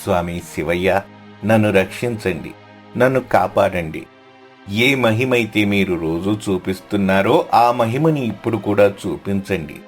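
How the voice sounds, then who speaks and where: A man acts out a poor, devout fish seller in a moral story. He is humble and respectful, with a lowish voice over faint music. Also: unhurried, close to an everyday voice. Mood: pleading. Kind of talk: scripted story dialogue.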